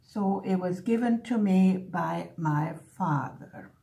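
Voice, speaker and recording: A woman in her seventies, a native Hungarian speaker who grew up in the USA, chatting casually and reminiscing with pauses as she works on a craft. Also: on the low side, creaky, dark tone. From born in Hungary, grew up in the USA. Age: 70s. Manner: unhurried, pausing storytelling. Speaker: craft vlogger.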